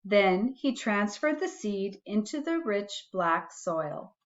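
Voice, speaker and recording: Slow, animated woman reading a storybook. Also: mid-range voice, highs cut off. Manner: deliberate, animated storytelling with musing asides to young listeners. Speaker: principal reading aloud to children. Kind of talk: expressive children's storybook read-aloud.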